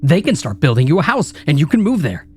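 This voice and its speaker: A man's voice: on the low side, expressive, a little fast, darkish tone, husky. A man voicing a cruel, sneering male inner critic that mocks and taunts relentlessly in a dramatized story reading. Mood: mocking. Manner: mocking, taunting, vicious. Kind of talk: dramatized horror story narration.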